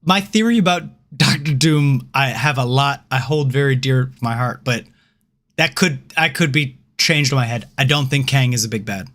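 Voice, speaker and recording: A man co-hosting a casual podcast chat, opinionated and animated, swearing freely and tripping over his restarts. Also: mid-range voice, normal speed. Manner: animated, opinionated, swears freely, repeats words when starting. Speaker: podcast co-host.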